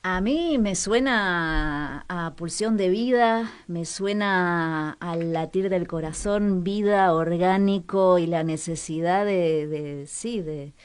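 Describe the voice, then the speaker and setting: Low-voiced Argentine woman chatting on radio. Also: takes it slowly, hardly any pauses, clean tone. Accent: Argentine Spanish. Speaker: radio show co-host. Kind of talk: casual radio chat.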